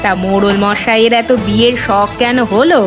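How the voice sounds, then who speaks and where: A woman's voice: a little high, steady tone, normal speed, natural voice. A woman playing a protective, proud old village mother in a cartoon, homely and folksy in manner. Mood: wary, proud. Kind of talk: Bengali cartoon voice acting. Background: music.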